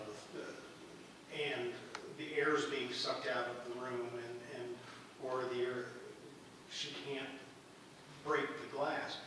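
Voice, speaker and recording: A man giving informal feedback from an audience, halting as he thinks aloud. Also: medium pitch. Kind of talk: informal critique. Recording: very echoey room, distant mic, clear noise.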